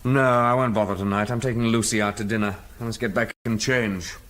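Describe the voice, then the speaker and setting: Actor as blunt, low-voiced British businessman. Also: steady tone, fairly quick, fairly bright tone, natural voice. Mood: brisk. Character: blunt, dismissive middle-aged businessman boss and husband. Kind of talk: mid-century British radio drama acting.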